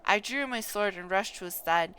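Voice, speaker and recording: A woman's voice: medium pitch, bright, forceful tone, a little hiss or hum, close to an everyday voice, low-quality recording. A woman voicing an anguished knight in a fantasy game, confessing a guilty secret earnestly and solemnly. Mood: anguished, grave. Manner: earnest, solemn, halting over names. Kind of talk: dramatic reading of fantasy game dialogue.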